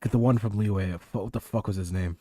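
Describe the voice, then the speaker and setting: A man's voice: on the low side, steady tone, a little fast, warm tone, dry recording, clean studio sound. A man streaming a video game with casual commentary, rambling and swearing as he thinks aloud.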